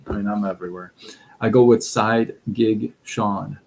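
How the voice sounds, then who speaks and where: A middle-aged man from Iran with a Persian accent, hosting a casual live stream of advice talk in a relaxed, rambling way full of fillers and restarts. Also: on the low side, a little slow, gravelly, low-quality recording.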